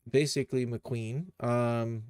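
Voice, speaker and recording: A man giving a casual live software tutorial, thinking aloud and muttering to himself as he works. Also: medium pitch, fairly even intonation, takes it slowly, clear, resonant tone, dry recording, studio quality. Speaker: streamer, animation tutor.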